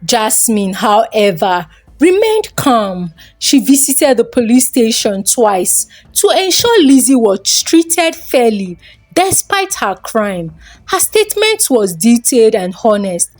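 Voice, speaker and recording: Woman narrating a dramatic folktale, high voice, slow, really expressive. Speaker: storyteller.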